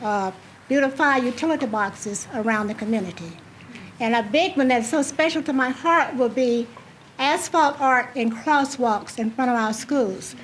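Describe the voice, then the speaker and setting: Woman, soft voice, high pitch, heartfelt speech, faint ambient noise. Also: low-quality recording. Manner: heartfelt, storytelling. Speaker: program coordinator speaking at a ribbon cutting. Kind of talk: speech to an audience.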